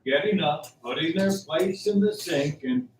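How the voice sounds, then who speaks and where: Male narrator for radio drama, fairly high voice, scripted read, off-mic with faint noise. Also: normal speed. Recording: roomy, distant, faint background noise.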